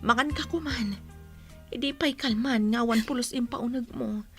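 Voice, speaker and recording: A high-pitched, tender mother, played by an actress in a radio drama, sorrowful and pleading as she consoles her child. Light music plays behind her. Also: normal speed, close to an everyday voice. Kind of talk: Ilocano radio drama acting.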